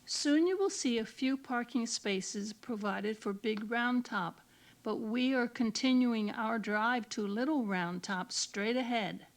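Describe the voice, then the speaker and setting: A woman's voice: on the high side, slow pace, rough recording. A woman reading scripted, instructional narration as an audio tour guide.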